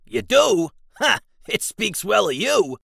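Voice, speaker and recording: A short, squat goblin who answers everything with dry sarcasm. The voice is high and gravelly, and it jumps around sharply, heard close and dry. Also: male voice, sing-song, takes it slowly, bright, forceful tone, dry recording, heavily acted. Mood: sarcastic. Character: small squat grumpy male goblin.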